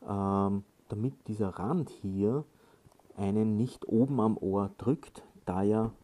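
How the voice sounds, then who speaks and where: Casual male tutorial presenter, very dark soft-edged tone, slow and hesitant delivery. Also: on the low side. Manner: halting, hesitant delivery with frequent filled pauses. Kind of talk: casual hands-on instructional talk.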